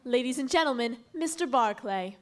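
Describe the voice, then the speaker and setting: A woman's voice: high voice, lively intonation, normal speed. A woman acting a formal announcer in an old-time radio show. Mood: formal, upbeat.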